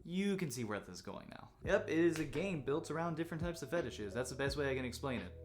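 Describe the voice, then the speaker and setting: A man telling a story as casual commentary, in a joking, crude, animated way. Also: a little high, fast. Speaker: host of a commentary series. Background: background music with guitar.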